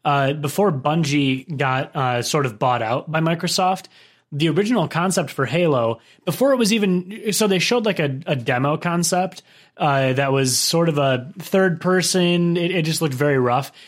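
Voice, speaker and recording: Playful young man, a bit higher voice. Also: moderate pace. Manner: playful, laughs often, animated. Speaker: podcast co-host. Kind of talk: casual chat.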